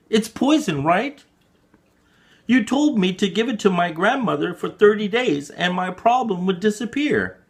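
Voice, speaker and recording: Storybook child, read by a man: raised pitch, earnest and pleading, very animated swings. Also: gravelly, heavily acted. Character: pleading, earnest child narrator with a noisy grandmother.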